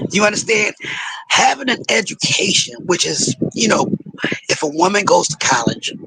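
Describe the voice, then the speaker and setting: Play him as a hoarse man with a high, bright, strained voice, arguing on a live panel and preaching his point, checking in with listeners as he goes. A faint hiss of noise sits under the line. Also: low-quality recording. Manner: preachy, repeats "you understand?". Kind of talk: heated panel debate.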